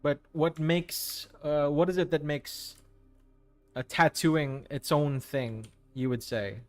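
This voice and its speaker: A man's voice: fairly high pitch, steady tone, slow, no room sound. Man chatting with his stream viewers. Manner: reads and answers chat messages, friendly, hesitant restarts. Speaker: livestream host. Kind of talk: casual chat with viewers while painting.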